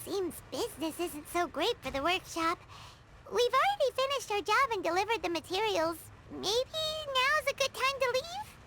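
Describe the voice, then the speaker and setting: A woman's voice: very high-pitched, sing-song, normal speed, background noise. A woman voicing a tiny, chirpy, childlike fairy companion in a video game. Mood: wary. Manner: refers to self in third person.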